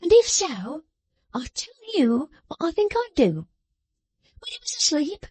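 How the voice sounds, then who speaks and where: Woman voicing a scolding girl, bossy, sharp, snapping, high lively voice, dry sound. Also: slow pace, no echo, full character voice. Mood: fierce.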